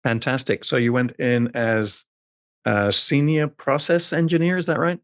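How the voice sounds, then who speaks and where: A man's voice: medium pitch, fairly even intonation, normal speed, no echo. Chatty male host, dry sound. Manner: friendly, chatty questioner who repeats himself. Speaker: podcast host. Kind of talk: casual interview questions.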